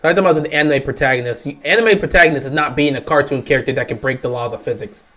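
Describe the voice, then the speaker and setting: Hoarse young man streaming game commentary. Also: mid-range voice, very fast, a bit bright. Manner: frustrated, resigned rambling. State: hoarse, sore throat. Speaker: livestream host. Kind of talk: casual live game commentary.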